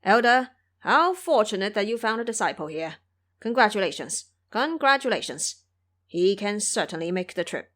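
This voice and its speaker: A woman's voice: mid-range voice, very animated, moderate pace, fairly bright tone. A woman voicing a hearty, respectful male hunter with a polite manner in an audio drama. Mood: glad, respectful. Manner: polite, congratulatory.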